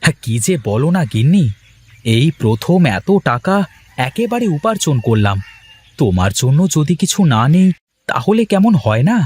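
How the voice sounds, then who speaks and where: An actor voicing a poor village fisherman in a cartoon, cheerful and boastful, with a husky voice. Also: fairly high pitch, very animated, moderate pace, a little mellow. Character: poor village fisherman turned eager trader. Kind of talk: Bengali cartoon voice acting.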